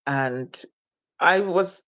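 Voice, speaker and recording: Nigerian-born woman, low voice, talks slow and thoughtful in an interview. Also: a bit bright. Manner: reflective, deliberate, measured. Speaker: guest. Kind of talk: interview conversation.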